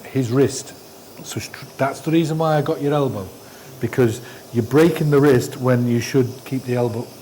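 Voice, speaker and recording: A man's voice: mid-range voice, normal speed, mellow, a little hiss or hum, rough recording. A middle-aged man teaching endoscopy hands-on, giving short blunt instructions and dismissive asides. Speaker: endoscopy trainer. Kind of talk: hands-on teaching, coaching a trainee. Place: hospital endoscopy training room.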